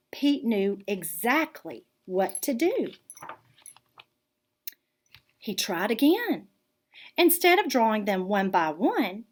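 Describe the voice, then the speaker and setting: A woman reading a children's picture book aloud in an expressive, deliberate storytelling manner. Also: fairly high pitch. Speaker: art teacher reading aloud.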